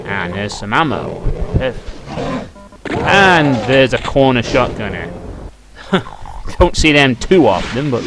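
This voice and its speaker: British man, low-key gameplay commentary, noisy recording. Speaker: let's play commentator. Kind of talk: casual off-the-cuff gameplay commentary. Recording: noisy home recording, game sound behind. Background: shooter game gunfire and clicks.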